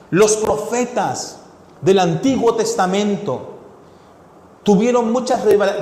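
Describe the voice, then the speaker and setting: Man preaching, high-pitched, mixed Latin American accent, fervent, recorded in a reverberant church hall. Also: slight background noise. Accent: mixed Ecuadorian, Chilean and Mexican Spanish. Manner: preaching with rhetorical questions, rising to fervent shouts. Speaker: pastor. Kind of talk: sermon. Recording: echoey hall with long reverb.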